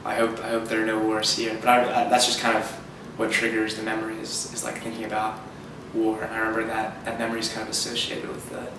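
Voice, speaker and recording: Hesitant young man, monotone. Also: fairly low pitch, fast talker, creaky, few pauses. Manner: hesitant, repeats words, many "like" fillers. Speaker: interviewee. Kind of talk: casual interview answers. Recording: very echoey room, distant mic, noticeable noise.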